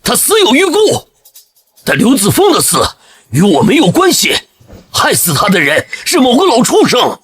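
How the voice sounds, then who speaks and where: Bitter angry dad out for revenge, high for a man, voice swings all over, menacing drama delivery. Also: wildly swooping pitch, unhurried, pauses often, breathy edge, faint music behind, full character voice. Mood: bitter defiance. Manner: forceful, menacing, bitter outbursts. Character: vengeful, grief-stricken father holding his enemy hostage. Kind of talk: dramatic audio drama acting.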